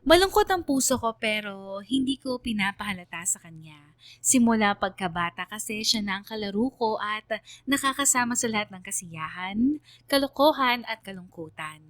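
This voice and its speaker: A woman's voice: medium pitch, lively intonation, normal speed, a bit bright, no room sound. A woman with a Filipino accent narrating a scripted radio story in an earnest, sentimental way.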